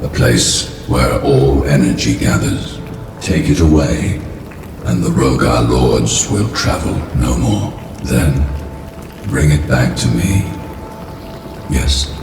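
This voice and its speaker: An actor playing a cryptic, mysterious smith in a fantasy game, speaking in a measured, unhurried way. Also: male voice, rumbling low, vocal fry, heavily acted. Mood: cryptic, commanding. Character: cryptic, mysterious male weapon-dealing smith. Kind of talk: fantasy game character acting. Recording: roomy echo, music underneath.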